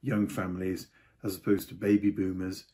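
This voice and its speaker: A man's voice: deep voice, level tone, normal speed, dark tone. An older man giving a casual market update to camera from a home study, with offhand asides. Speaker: property market commentator.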